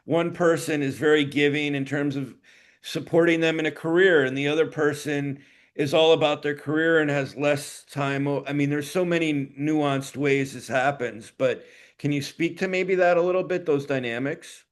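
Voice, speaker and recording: A man's voice: fairly high pitch, fairly even intonation, moderate pace. A man hosting an interview, asking long thoughtful questions with hesitations and fillers. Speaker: interview host.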